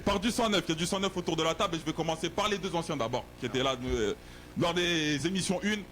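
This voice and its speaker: A man's voice: a little high, quick pace, a bit bright, slight background noise. Young man hosting, joking and stumbling. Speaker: host. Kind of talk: casual studio show hosting.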